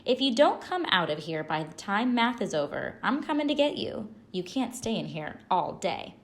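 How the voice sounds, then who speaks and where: A woman's voice: mid-range voice, big pitch swings, normal speed. A woman reading a storybook aloud, voicing a young boy who teases his classmate but coaxes and reassures him. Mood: coaxing.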